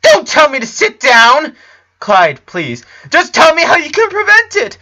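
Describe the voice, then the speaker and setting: A man voicing an anxious, highly emotional young boy in a dramatic story reading. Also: wildly swooping pitch, unhurried, pushed and bright, heavily acted. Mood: frantic, distraught. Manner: overwrought, pleading outbursts.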